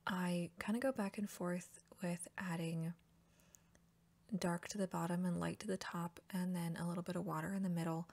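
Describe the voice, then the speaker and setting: A young woman talking softly, often dropping into whispers, her voice still scratchy from a recent cough, chatting in a relaxed way. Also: fairly low pitch, vocal fry. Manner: relaxed and chatty, with soft laughs. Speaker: ASMR artist painting while chatting. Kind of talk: soft-spoken ASMR art chat, sliding into whispers.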